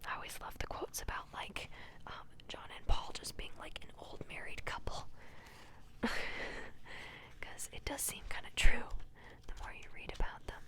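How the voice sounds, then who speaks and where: A young woman whispering softly for ASMR, reading quotes from a book aloud and adding giggly, chatty asides full of fillers, close to the mic. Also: normal speed. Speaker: ASMR creator.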